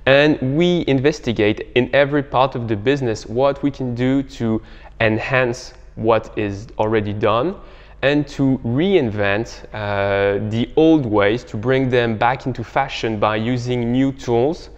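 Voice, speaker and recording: Smooth-voiced young man in a reverberant room. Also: medium pitch, muffled recording. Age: young adult. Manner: halting, hesitant phrasing. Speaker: startup co-founder, interview guest. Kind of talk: explanatory interview answers. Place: bright indoor living room. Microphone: clip-on lapel mic.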